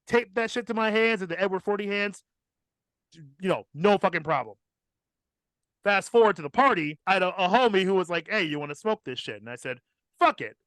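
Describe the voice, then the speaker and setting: A man with a high-pitched voice tells a casual story as a podcast host. He swears freely and emphatically, with a comic storyteller's delivery. The sound is dry and close. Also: animated, a little fast, pauses often, a bit bright, no room sound.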